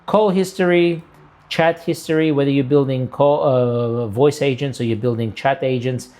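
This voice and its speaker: A man's voice: medium pitch, steady tone, moderate pace, a little mellow. Man in his thirties explaining casually. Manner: conversational, uses everyday analogies and tag questions like "right?". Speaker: presenter of a software tutorial. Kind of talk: casual screen-share tutorial, explaining step by step. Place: home room with a window, indoors.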